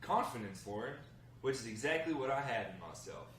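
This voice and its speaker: A man's voice: medium pitch, animated, fairly quick, fairly bright tone, natural voice. Man reading as a confident young husband, echoey room. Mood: defensive, insistent. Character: Sawyer, confident, defensive husband newly joining a gang. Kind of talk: script table read. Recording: echoey room, distant mic, faint noise.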